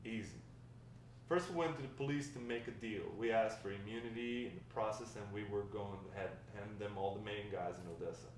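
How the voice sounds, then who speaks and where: A man's voice: lowish voice, fairly quick, natural voice. A man reading the part of a wry old grandfather telling stories of a drug-dealing past at a script table read, with some stumbles. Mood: matter-of-fact. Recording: distant, very echoey room, faint noise.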